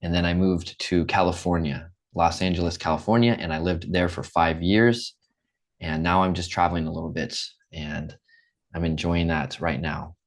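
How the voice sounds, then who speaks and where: A man's voice: sits low, steady tone, normal speed. A young American man from the Midwest teaching an English class online, upbeat and checking in often. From Midwest United States. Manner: upbeat, repeats and checks in with "okay?".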